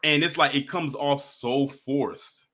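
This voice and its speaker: A man's voice: medium pitch, steady tone, takes it slowly, a bit bright. A man hosting a casual talk show, chatting with his guests. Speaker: host.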